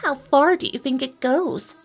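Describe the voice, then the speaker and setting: A woman's voice: high voice, measured pace, gravelly, faint music behind, pitched above the performer's own voice. A woman voicing an anxious female pony magician in a storybook reading, questioning and uneasy. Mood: uneasy wondering. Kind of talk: dramatic storybook character reading.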